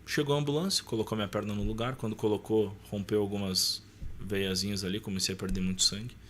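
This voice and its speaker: A man's voice: on the low side, steady tone, quick pace, a bit bright, a little hiss or hum. A man with a southern Brazilian accent casually telling a personal story as a podcast guest, acting out dialogue as he goes.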